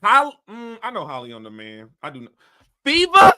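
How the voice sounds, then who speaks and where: A man's voice: very high-pitched, huge pitch swings, moderate pace, sharp tone. A man hosting a casual commentary show, talking bluntly and animatedly in a rambling way. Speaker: host.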